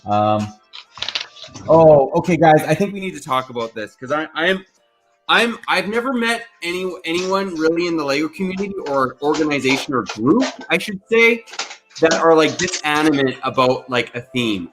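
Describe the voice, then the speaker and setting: A young man who grew up in Mexico, hosting a casual livestream chat in a rambling, easily excited way over a video call. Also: a little high, moderate pace, poor audio. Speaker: livestream host. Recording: loud background music under voice.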